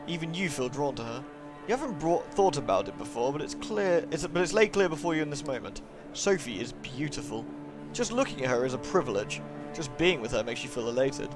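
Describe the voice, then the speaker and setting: A man's voice: a little high, big pitch swings, fairly quick, over music. A man livestreaming, switching between polite, thankful chat with viewers and reading narration aloud. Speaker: live streamer reading a visual novel aloud.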